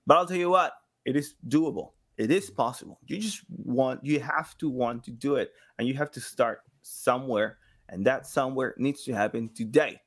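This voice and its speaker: A man in his thirties chatting casually as a podcast guest, thinking aloud haltingly and voicing imagined quotes. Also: mid-range voice, a bit bright. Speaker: podcast guest, game sound designer. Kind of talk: casual interview chat. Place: small home room with slanted ceiling, indoors.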